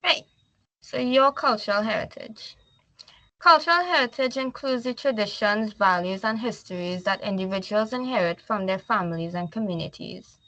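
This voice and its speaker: A woman with a Trinidadian accent walks a class through her slides. She reads each point, then loosens into casual explanation. Her voice is bright and forceful, recorded dry with no room echo. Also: mid-range voice, normal speed. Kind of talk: slide presentation.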